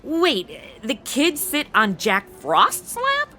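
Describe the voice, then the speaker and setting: A woman's voice: high voice, wildly swooping pitch, very slow, sharp tone, soft background music, exaggerated voice. A woman playing a sarcastic, blunt modern sidekick in a comedy audio drama, talking casually. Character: snarky modern young woman tagging along as sidekick. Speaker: show's lead and host.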